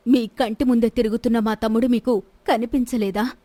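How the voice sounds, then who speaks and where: A woman's voice: a little high, fairly even intonation, brisk, dark tone, no echo, lightly acted. A woman voicing an imperious, indignant queen and protective elder sister in a story drama, stern and commanding. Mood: indignant, challenging. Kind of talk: scripted Telugu moral-story dramatization.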